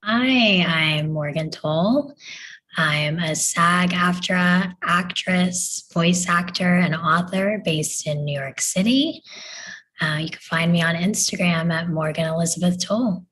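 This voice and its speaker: A woman's voice: fairly low pitch, takes it slowly, fairly bright tone, low-quality recording. A woman who works as an actress and voice actor, introducing herself casually.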